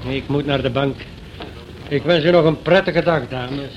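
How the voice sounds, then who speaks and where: A man acting a dry, courteous, hurried neighbour in a Dutch radio play, speaking with polite reserve. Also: a little high, close to an everyday voice. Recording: dull old radio recording, faint noise.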